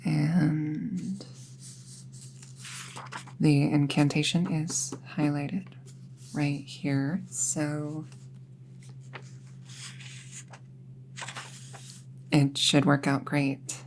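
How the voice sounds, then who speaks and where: An earnest apprentice witch, acted by a woman in an intimate roleplay audio, talking slowly and haltingly as she reassures a close friend. Her voice sits low with a creaky edge, over faint background noise. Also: level tone, heavily acted, poor audio. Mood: muttering, checking. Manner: hesitant, halting, gently reassuring.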